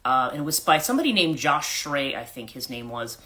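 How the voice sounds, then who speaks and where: A woman hosting a casual morning livestream, her voice husky and congested from allergies, rambling with fillers and frequent throat clearing. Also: sing-song, brisk, pushed and bright. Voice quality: husky, a register lower than usual. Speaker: life coach hosting a livestream.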